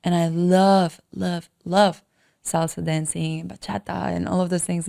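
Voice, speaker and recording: A woman from the Dominican Republic giving a motivational solo podcast talk as a coach, speaking emphatically and deliberately, repeating phrases for emphasis. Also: on the low side, vocal fry, dark tone.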